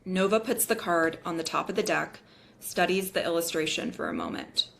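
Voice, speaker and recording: A woman's voice: on the low side, normal speed, gravelly, fairly bright tone, slight background noise. A woman reading the scene directions of a script as narrator at a table read.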